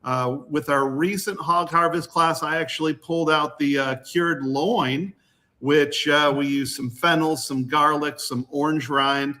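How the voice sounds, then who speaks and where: A man's voice: on the high side, fairly even intonation, a little slow, bright, forceful tone. A man talking casually as a guest, explaining the hands-on classes he teaches. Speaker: guest, butchery workshop teacher.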